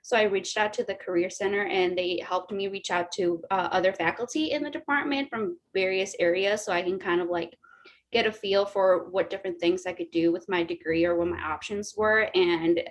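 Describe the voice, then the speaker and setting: A woman's voice: lowish voice, moderate pace. Young woman student, full of "like" fillers. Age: young adult. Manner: heavy use of "like" fillers. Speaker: college student panelist. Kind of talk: casual personal story.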